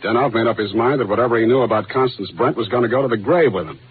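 Cocky hardboiled radio detective. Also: male voice, medium pitch, very fast, husky. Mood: brisk, commanding. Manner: brusque, slangy, commanding. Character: tough, cocky, wisecracking male private detective. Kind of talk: 1940s radio detective acting. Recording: muffled old radio recording with music.

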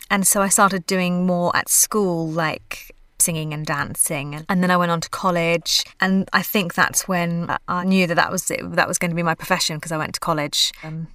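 A woman's voice: on the low side, fairly bright tone, dry recording, clean studio sound. An actress from Australia, chatting in an interview, laughing often and restarting her sentences. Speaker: actress and voiceover artist, interview guest. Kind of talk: casual interview conversation.

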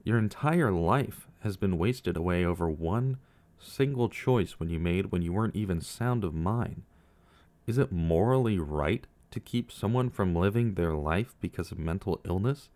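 A young man narrates his podcast from a script, thoughtful and earnest as he poses question after question. His voice sits fairly low for a man. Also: animated, normal speed, darkish tone.

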